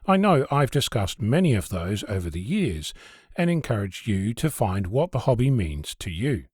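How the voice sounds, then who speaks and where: A man's voice: lowish voice, very animated, normal speed, a little mellow, slightly rough, no room sound, clean studio sound. A man hosting a podcast, reading a scripted essay in a conversational way with wry asides. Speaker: host of an amateur radio podcast. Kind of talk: scripted spoken essay, narration.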